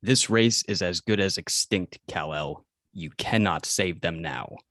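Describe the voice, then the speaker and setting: Guy reading a stoic alien robot guardian, deep and dry, formal and measured, table read. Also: fairly bright tone, no echo. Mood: insistent.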